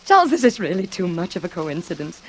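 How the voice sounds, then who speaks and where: A woman's voice: lowish voice, big pitch swings, fast talker, poor audio. An actress playing a genteel, nervous, lonely woman with wistful eagerness in a 1940s radio suspense drama. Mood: nervous, flustered. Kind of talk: 1940s radio suspense acting with confiding narration. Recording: vintage radio drama with music behind.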